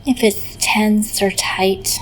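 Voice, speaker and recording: A woman leading a guided meditation in a gentle, unhurried manner, often pausing in the middle of phrases. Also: mid-range voice, fairly even intonation, a little hiss or hum, poor audio. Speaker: meditation teacher.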